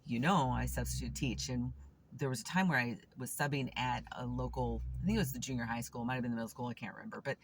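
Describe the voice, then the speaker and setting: A woman's voice: very deep voice, steady tone, fast talker, a little hiss or hum. A woman vlogger and substitute teacher talking through a casual, reflective morning monologue, candid and thinking aloud with frequent restarts. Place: seated, waiting outside a store.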